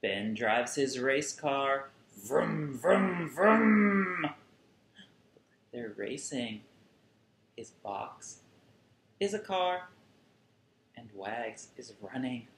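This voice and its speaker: A man in his thirties reads a children's story at a slow, careful pace, stretching words for effect. His voice has some creak in it. The recording sounds roomy, like a furnished room at home. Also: medium pitch, lively intonation, fairly bright tone. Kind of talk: animated picture-book read-aloud for young children. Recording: roomy sound with faint background noise.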